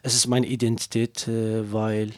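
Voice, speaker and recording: A Kurdish singer whose first language is Kurdish, speaking hesitant, broken German with many fillers while being interviewed. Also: male voice, lowish voice, completely flat delivery, darkish tone, no echo. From Kurdish region of Turkey. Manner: halting non-native German with many fillers. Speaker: singer, guest. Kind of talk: interview answers.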